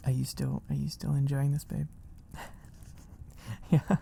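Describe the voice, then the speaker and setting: Mellow, creaky man reading a bedtime story. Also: mid-range voice, completely flat delivery, measured pace, slight background noise. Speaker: ASMR narrator. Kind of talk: soft storybook reading, ASMR.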